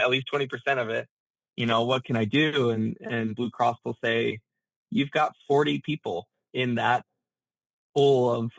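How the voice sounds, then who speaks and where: A man speaking as an interview guest, explaining his business in a halting, thinking-aloud way with repeated words. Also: mid-range voice, fairly bright tone, no room sound, low-quality recording. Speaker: interview guest, healthcare management founder.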